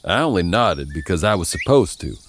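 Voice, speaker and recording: An American man from Virginia narrating a first-person story in a folksy, wry, expressive way. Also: low voice, fairly quick, a little hiss or hum. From Virginia, United States.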